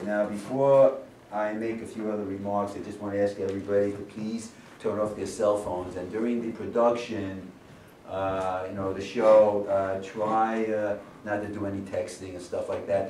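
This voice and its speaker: An older man with a lowish voice addresses an audience informally as the director of a staged reading. He is emphatic and rhetorical, often tagging his points with a check for agreement. The recording is roomy, picked up from a distance with faint noise. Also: dark tone. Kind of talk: informal welcome speech to an audience. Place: medium-sized indoor rehearsal room with audience. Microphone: camera several metres away.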